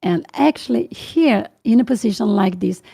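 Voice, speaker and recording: A woman in her sixties with a Swedish accent, her English carrying the rhythm of her first language. Her voice is low and mellow with a gravelly edge, and she works through her thoughts slowly, with fillers and self-corrections. She sounds like a live chess commentator answering viewers as she goes. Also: expressive. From Sweden. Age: 60s. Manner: thinking aloud with many fillers and self-corrections.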